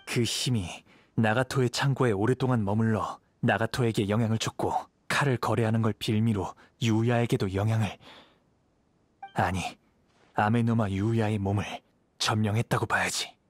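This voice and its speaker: An actor playing a calm, perceptive young samurai in an anime-style video game, speaking in a composed, serious, measured manner. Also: male voice, lowish voice, no echo, lightly acted. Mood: serious, deducing.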